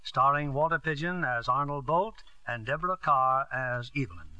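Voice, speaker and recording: A male announcer introducing acts and guests in a 1950s radio broadcast, in a polished, smooth manner. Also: medium pitch, expressive, moderate pace, highs cut off.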